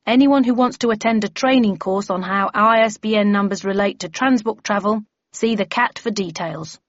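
A woman voicing a stern, brisk bellman who chairs a meeting in an officious, declaiming manner, in an audiobook story. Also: medium pitch, no room sound, dull audio, close to an everyday voice.

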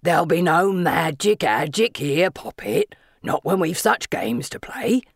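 A woman voicing a menacing, playful warrior villain who taunts in a drawn-out sing-song for an audiobook. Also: lowish voice, very animated, no echo, clean studio sound, pitched below the performer's own voice, full character voice. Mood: gleeful menace.

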